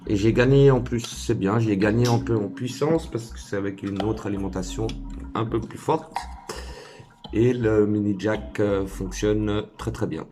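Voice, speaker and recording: A man's voice: fairly low pitch, steady tone, measured pace, dark tone. A man giving a casual hands-on tutorial, informal and hesitant, thinking aloud as he works. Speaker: DIY tutorial maker.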